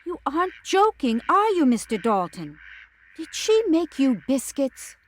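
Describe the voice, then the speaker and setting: Casting: woman playing a fussy, talkative housekeeper, high voice, old-time audio drama. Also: sing-song. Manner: chatty, then indignant and hurried. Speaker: cook and housekeeper. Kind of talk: radio drama acting.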